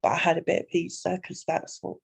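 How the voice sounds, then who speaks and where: Gravelly, low-voiced woman. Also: steady tone, fairly quick. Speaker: coaching client. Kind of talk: casual group coaching call.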